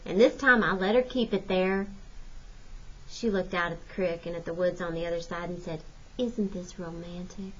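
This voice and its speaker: A woman's voice: fairly low pitch, lo-fi sound. A woman teacher reading a children's novel aloud as a first-person narration.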